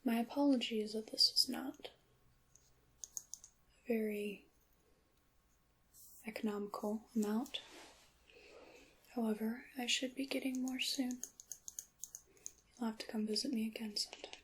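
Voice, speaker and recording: A woman playing a courteous witch shopkeeper in an ASMR roleplay, whispering softly and breathily with formal, polite, old-fashioned wording. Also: a little high, fairly even intonation, a little slow, long pauses, a little hiss or hum, poor audio. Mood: gracious, calm. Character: courteous witch shopkeeper selling handmade magical wares.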